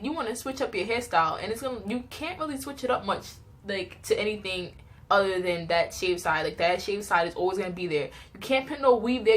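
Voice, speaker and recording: A young woman tells personal stories in a casual, chatty vlog style, animated and giggly as she acts out little reactions. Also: medium pitch. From New York, United States. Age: young adult. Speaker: vlogger telling personal stories.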